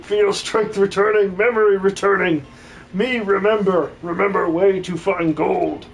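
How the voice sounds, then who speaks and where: A man puts on a big, simple-minded metal golem from a comic video game dub. The creature speaks very slowly in broken, caveman-like phrases, friendly and guileless, with a fairly high-pitched voice. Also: steady tone, vocal fry, rough recording. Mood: grateful, excited. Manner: slow broken caveman-like speech.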